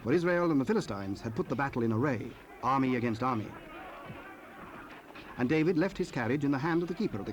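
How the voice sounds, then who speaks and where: A man narrating scripture in a formal, solemn and measured way. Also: mid-range voice. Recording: faintly noisy cassette rip.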